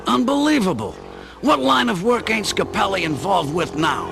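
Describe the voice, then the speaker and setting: A man's voice: high voice, sing-song, normal speed, creaky, fairly bright tone, soft background music, exaggerated voice. A man playing a skeptical, bossy working-class plumber, the older brother, in a radio play, with brusque sarcasm. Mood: loud, exasperated.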